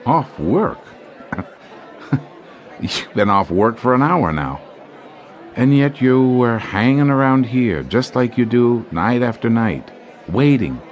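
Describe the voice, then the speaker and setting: An actor playing a scheming male jazz clarinetist in an audio drama, smooth-talking and sly. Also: mid-range voice, lively intonation, slow pace, pauses often. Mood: sardonic. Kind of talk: radio drama acting.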